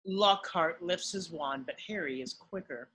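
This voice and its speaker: Bright, husky male narrator. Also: fairly high pitch, a little slow. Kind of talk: reading screenplay stage directions.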